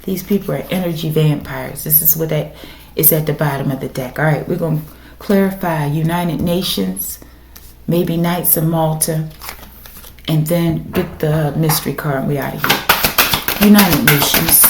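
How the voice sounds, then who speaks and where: A woman with a raspy voice doing a casual tarot reading for her viewers, speaking emphatically and often checking in with "okay?". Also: deep voice, steady tone, normal speed. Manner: emphatic, repeats "okay?" to the audience. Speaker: tarot reader. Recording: echoey room with faint noise.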